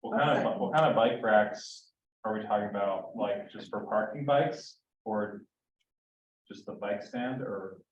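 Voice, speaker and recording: Low-voiced man brainstorming from the floor. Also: measured pace, a little hiss or hum. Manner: tentative, brainstorming aloud. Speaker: resident at meeting. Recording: echoey dull room sound from a distance.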